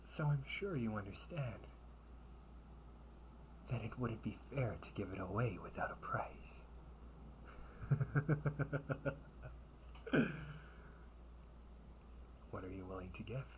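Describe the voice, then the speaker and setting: A man playing a smooth, scheming older brother who brokers information for a crime syndicate, teasing and theatrical in an audio roleplay. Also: on the low side, slight background noise, lightly acted. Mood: amused, coolly firm. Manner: silky, teasing, unhurried, theatrical.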